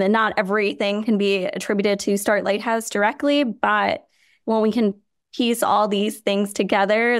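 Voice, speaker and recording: A woman from Chicago, raised speaking Hindi and Gujarati, talks as a nonprofit founder in an interview. Her voice is smooth and clear, and the sound is close and dry with no room around it. She speaks earnestly and steadily, leaning on "you know" as she goes. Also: medium pitch, dry recording. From Chicago, USA. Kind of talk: podcast interview answer.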